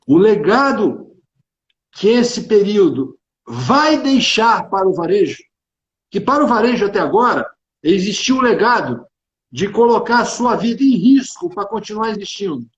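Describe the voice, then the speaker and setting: A man with a high voice and a Carioca accent talks business on a livestream. He is emphatic, colloquial and opinionated, doubling back on phrases. The sound is echoey and dull. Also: very animated, moderate pace. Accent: Carioca Brazilian Portuguese. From Rio de Janeiro, Brazil. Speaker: tax consultant and executive speaking on a livestream. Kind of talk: informal live business discussion. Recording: echoey room, dull band-limited livestream audio.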